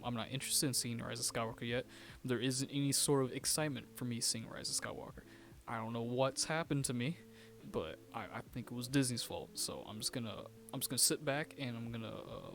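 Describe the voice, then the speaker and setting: A man's voice: medium pitch, a little hiss or hum. A man co-hosting a casual podcast, talking in a rambling way with many restarts and laughs. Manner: rambling, repeats himself, laughs often. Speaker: podcast co-host. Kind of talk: casual chat.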